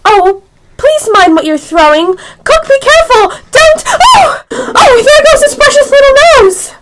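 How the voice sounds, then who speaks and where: A woman's voice: very high-pitched, big pitch swings, unhurried, fairly bright tone, exaggerated voice. A female amateur actor playing a curious, polite but easily exasperated little girl in a radio play. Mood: alarmed.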